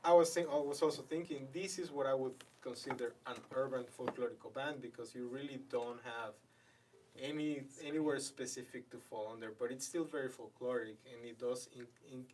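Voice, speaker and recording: مضيف يبحث عن كلماته ويمزج الإسبانية. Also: male voice, mid-range voice, fairly even intonation, brisk, darkish tone, recorded from a distance, slight background noise, rough recording. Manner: searching for words, mixes in Spanish. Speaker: host. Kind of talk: casual interview chat.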